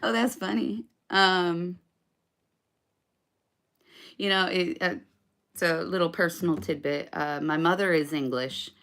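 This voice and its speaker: A woman's voice: on the low side, a little slow, creaky, long pauses, fairly bright tone. A woman chatting casually with viewers on a live stream between songs, rambling and hesitant with lots of fillers. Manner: chatty, self-deprecating. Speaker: singer-songwriter live streamer.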